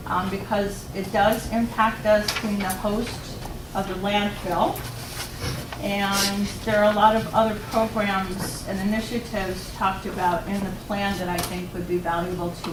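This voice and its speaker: A woman giving earnest public comment at a township meeting, halting with many ums. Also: mid-range voice. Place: township hall meeting room. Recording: echoey room from a far mic, noisy.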